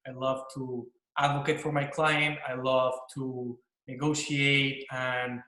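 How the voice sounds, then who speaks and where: A man's voice: medium pitch, level tone, slow pace, pushed and bright. A man from Mexico with a Mexican accent and Spanish as his first language, a corporate attorney explaining his work earnestly on a panel over a video call, with frequent restarts. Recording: echoey room.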